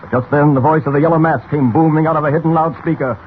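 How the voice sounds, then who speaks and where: Male serial narrator, grandiose and suspenseful, slightly high voice, muffled 1940s broadcast sound. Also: steady tone, fast. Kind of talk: dramatic scripted 1940s radio narration. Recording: muffled, faintly hissy old radio recording.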